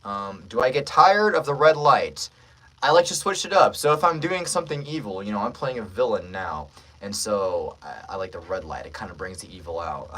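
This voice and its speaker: A man's voice: lowish voice, animated, a little fast, a bit bright, low-quality recording. A man hosting a casual livestream as a voice actor, joking playfully with the viewers as he talks.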